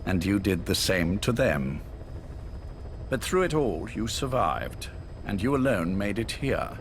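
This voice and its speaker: An actor playing an older male spymaster who speaks in a calm, courteous, probing way. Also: fairly low pitch, a little slow, background noise. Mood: measured.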